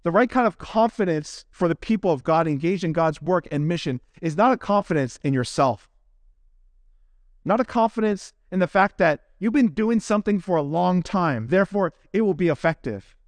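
A man preaching as a pastor to his congregation, earnest and deliberate, with emphatic phrasing in measured lists. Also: high voice, expressive, no echo. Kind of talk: expository sermon closing in prayer.

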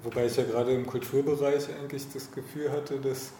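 A man interviewing someone casually, with a halting, informal way of putting things. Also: medium pitch, little pitch movement, dark tone. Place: echoey space, meeting over coffee. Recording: roomy echo with light ambient noise.

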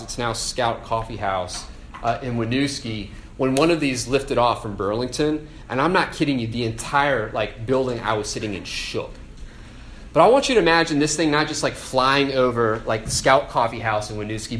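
A man preaching a casual, conversational sermon, animated and full of "like" and "right?". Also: mid-range voice, a little hiss or hum.

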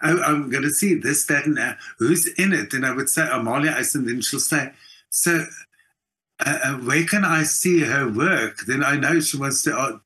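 A man's voice: medium pitch, moderate pace, bright, forceful tone. A man with a South African accent giving an opinionated, emphatic answer in a conversational interview. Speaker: interview guest, theater actor and singer.

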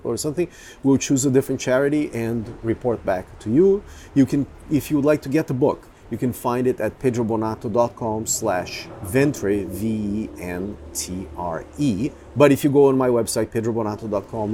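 A man whose first language is Portuguese, talking casually as a photographer, with many pauses, fillers and restarts. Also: medium pitch, warm tone. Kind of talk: casual chat.

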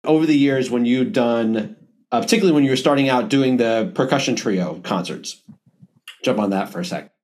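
A man's voice: medium pitch, brisk, a little mellow. A man hosting a casual interview, often breaking off and restarting his sentences. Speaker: podcast host.

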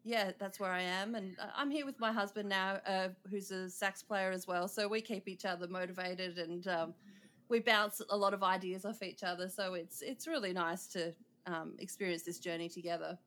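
A woman's voice: mid-range voice, steady tone, normal speed, fairly bright tone. A middle-aged Australian woman, a composer and conductor, talking casually as an interview guest, with many ums and frequent laughs.

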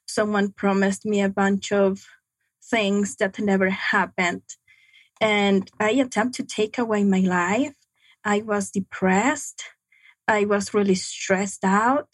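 Slow, halting young woman, second-language English. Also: mid-range voice, steady tone, no room sound. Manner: halting, reflective, frequent pauses. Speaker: guest. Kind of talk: personal testimony in an interview.

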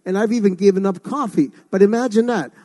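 A woman's voice: mid-range voice, fast talker, mellow. A woman from Ecuador who grew up in New York City, speaking emphatically and rhetorically in an impassioned radio discussion. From born in Ecuador, raised in New York City. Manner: emphatic, rhetorical, often asks "right?".

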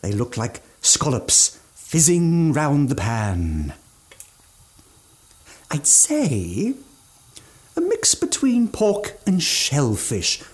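An actor playing an eccentric, aging gourmand mountaineer with ghoulish relish and fussy, old-fashioned genteel speech in a radio drama. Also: male voice, on the high side, wildly swooping pitch, very slow, pauses often, exaggerated voice. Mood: matter-of-fact, ghoulish. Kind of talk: theatrical radio drama monologue.

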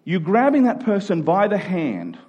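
A man delivers a conversational sermon in a husky, slightly high voice. He is earnest and repeats key phrases to drive them home. The recording sounds a little dull and lo-fi. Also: wildly swooping pitch, moderate pace. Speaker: preacher.

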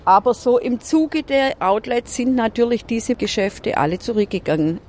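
A woman's voice: fairly high pitch, expressive, moderate pace, a little mellow, a little hiss or hum. A woman with a Swabian accent, a local shopkeeper, chatting casually and folksily on the street. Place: outdoors on a town street.

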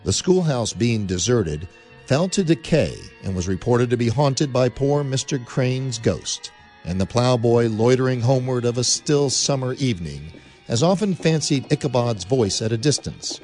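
A man's voice: medium pitch, animated, moderate pace, darkish tone. Theatrical male radio play narrator. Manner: theatrical, building tension. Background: music.

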